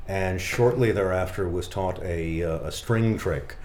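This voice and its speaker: A middle-aged man from the Boston area, a magician, telling halting anecdotes in casual conversation. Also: deep voice, a little mellow, roomy sound, slight background noise. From Boston area, United States. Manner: halting, anecdotal storytelling with many restarts. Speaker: guest, magician.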